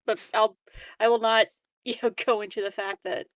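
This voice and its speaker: A woman playing a tabletop game who speaks softly and hesitantly, with small giggles. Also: on the high side, fairly even intonation, normal speed, dry recording. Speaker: player. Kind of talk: casual in-character chat in a tabletop game.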